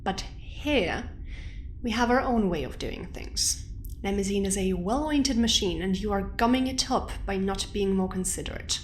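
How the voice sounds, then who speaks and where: An actress plays a businesslike woman scientist with a medium-pitched voice. She is curt and reproachful, guarding her territory with blunt words. The audio drama track has slight noise behind her. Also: moderate pace, lightly acted.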